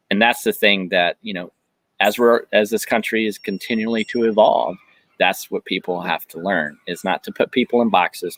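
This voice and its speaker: A man's voice: low-pitched, a bit bright, no echo, low-quality recording. A man hosting an interview, affirming his guest and talking in a rambling way with frequent restarts. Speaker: podcast host. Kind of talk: conversational interview.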